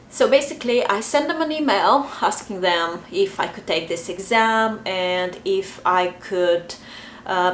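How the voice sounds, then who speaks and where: A young adult woman with a Bulgarian accent, talking casually to camera and pausing often with fillers. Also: fairly low pitch, slight background noise. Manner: chatty, hesitant, many fillers. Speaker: vlogger. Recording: echoey room, faint ambience.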